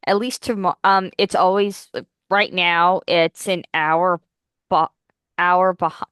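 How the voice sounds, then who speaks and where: A woman with a slightly nasal, head-cold voice hosts a relaxed podcast chat, taking her time with each phrase. Her tone is smooth and clean despite the cold, and the sound is close and dry. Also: on the low side, slow, no room sound. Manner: chatty, giggly, self-correcting. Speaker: podcast host. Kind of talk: casual chat.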